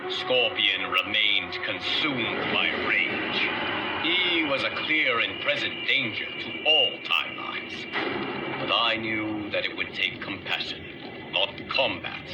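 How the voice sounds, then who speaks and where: An actor playing a solemn, compassionate guardian of timelines, narrating a video game story in a measured, dramatic way. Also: male voice, fairly low pitch, lively intonation, highs cut off. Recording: loud music under the voice. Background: loud game soundtrack music.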